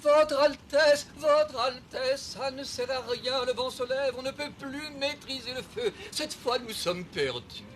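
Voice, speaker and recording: A man's voice: very high voice, unhurried, few pauses, a bit bright, soft background music. A man voicing a solemn, defeated animal subject in a French cartoon. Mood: despairing.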